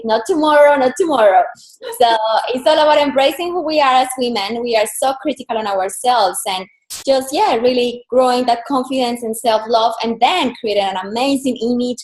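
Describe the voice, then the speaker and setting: A woman's voice: a little high, reverberant, rough recording. A woman from Colombia with a Colombian Spanish accent, an interview guest and style coach telling her story in an earnest, flowing way. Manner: earnest, flowing, repeats phrases for emphasis. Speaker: personal brand and style coach.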